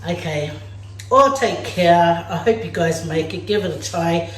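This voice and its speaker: Slow, chatty woman in an echoey kitchen. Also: lowish voice. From Samoa. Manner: chatty and playful, talks straight to viewers as "guys". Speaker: cooking vlogger. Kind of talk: casual cooking demonstration. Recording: echoey room.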